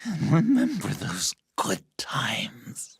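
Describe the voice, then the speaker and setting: An actor playing an unhinged, sentimental, wounded villain in a video game, theatrical and halting, with a raspy voice that slips into whispers. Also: male voice, mid-range voice, huge pitch swings, no room sound, studio quality, exaggerated voice. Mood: wistful, wounded. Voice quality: raspy, creaky.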